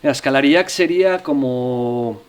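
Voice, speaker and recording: A middle-aged man from northern Spain, a musician talking about his career as a guest. His voice sits at an ordinary pitch, and he tells his stories in a loose, hesitant way, often stopping to restart his sentences. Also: normal speed, clean tone. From Navarre, Spain. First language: Spanish. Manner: hesitant, with frequent restarts and fillers. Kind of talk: relaxed interview storytelling.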